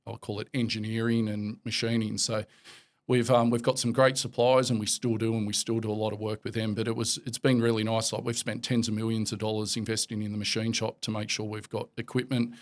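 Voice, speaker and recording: An Australian man in his forties, a podcast guest talking in a relaxed, rambling way with lots of fillers and restarts. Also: fairly low pitch, fairly quick. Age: 40s. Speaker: podcast guest, company executive. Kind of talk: casual interview chat.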